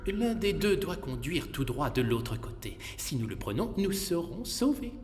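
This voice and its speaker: Un personnage d'aventurier avisé de dessin animé, qui explique les choses calmement à ses amis. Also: medium pitch. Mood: thoughtful, reassuring. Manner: measured, explanatory. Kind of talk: animated film dub acting. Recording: long reverb, faint ambient noise.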